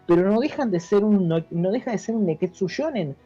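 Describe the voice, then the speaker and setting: A man with a Buenos Aires accent talks as a guest, explaining in a casual, halting way. His voice is dark and mellow. The recording is dull and dry, with faint music behind it. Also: high-pitched, lively intonation. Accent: Argentine Spanish, Buenos Aires. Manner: halting, repeats words, seeks agreement with tags. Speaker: interview guest, history teacher. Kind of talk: casual explanatory interview talk.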